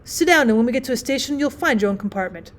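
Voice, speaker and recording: A woman voicing a welcoming, amused gentleman passenger in an audiobook, hearty and exclamatory. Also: on the high side, lively intonation, quick pace, lightly acted. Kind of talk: acted audiobook storytelling.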